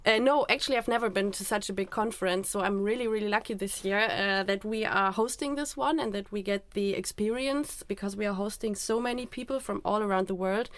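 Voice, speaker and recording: A young woman co-hosting a student podcast in a conversational style, like an informal guide. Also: mid-range voice. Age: young adult. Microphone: close podcast microphone.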